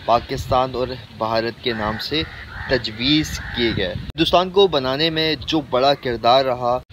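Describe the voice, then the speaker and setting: A clearly noisy recording with a rumble behind the voice carries a Pakistani man of medium pitch. He talks to viewers in an informal explainer style. His delivery is halting, with frequent pauses partway through sentences. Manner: halting, pausing often mid-sentence. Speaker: video host.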